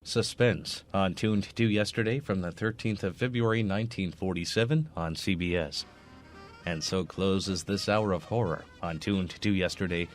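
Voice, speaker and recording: Low-voiced male radio host. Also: normal speed, faint music behind. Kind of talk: scripted narration, radio presenting.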